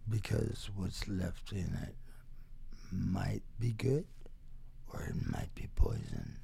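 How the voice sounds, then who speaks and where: A man chatting casually as an interview guest, halting and full of wisecracks, with some faint noise behind him. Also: deep voice, huge pitch swings, creaky, dark tone, husky. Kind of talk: casual chat.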